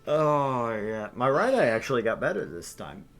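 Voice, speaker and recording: A man's voice: mid-range voice, big pitch swings, moderate pace, faint music behind. A young man co-hosting a casual let's-play stream, laid-back and joking at his own expense. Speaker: game streamer co-host.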